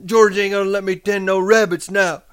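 Simple childlike country guy as a male narrator plays him, really high plaintive voice, worked up, audiobook acting. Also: flat delivery, normal speed, clear, resonant tone, natural voice. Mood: miserable. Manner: plaintive, simple rural American dialect. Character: childlike, simple, pleading big man, easily upset.

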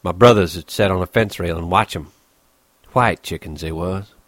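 Audiobook role: male narrator as a bitter, isolated ranch stable hand, lowish voice, scornful and brooding, colloquial, dry recording. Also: moderate pace, dry recording, close to an everyday voice. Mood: dreamy.

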